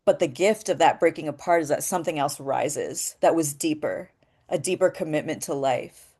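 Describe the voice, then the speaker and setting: A woman with a naturally deep voice, leading a voice workshop in a thoughtful, searching way, with many pauses and restarts. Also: dark tone, slightly rough. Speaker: voice workshop leader. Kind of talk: reflective workshop talk.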